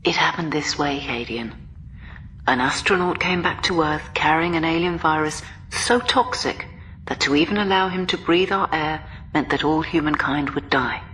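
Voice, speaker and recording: An actress in a radio drama playing a prim, ageing woman who watches over a quarantined prisoner, speaking in a formal, measured and solemn way. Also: low voice, slight background noise, poor audio. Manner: formal, measured, solemn, breathy hushed moments.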